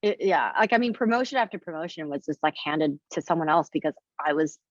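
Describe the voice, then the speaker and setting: A woman in her thirties from Indonesia talks through her thoughts with a reflective, searching manner, dotting her sentences with fillers and restarts. Her voice sits a little low, recorded dry and close with a dull, lo-fi sound. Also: animated, fast, dry recording. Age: 30s. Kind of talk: casual conversation.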